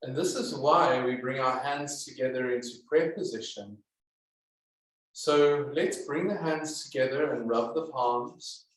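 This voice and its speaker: A man teaching yoga and guiding meditation in a calm, deliberate manner with many pauses. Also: medium pitch. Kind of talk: guided yoga and meditation instruction. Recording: echoey, roomy sound.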